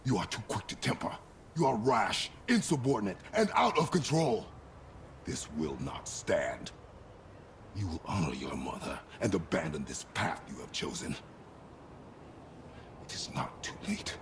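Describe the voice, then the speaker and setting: An actor playing a stern, battle-hardened warrior god and father in a video game, speaking in terse, commanding, formal phrases with a deep gravelly voice. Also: sing-song, a little slow, long pauses, slight background noise, exaggerated voice. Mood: stern anger.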